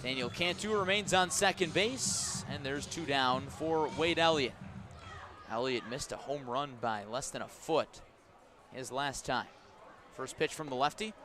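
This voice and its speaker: A man doing live radio play-by-play of a baseball game, with a measured call that rises sharply on big plays. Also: mid-range voice.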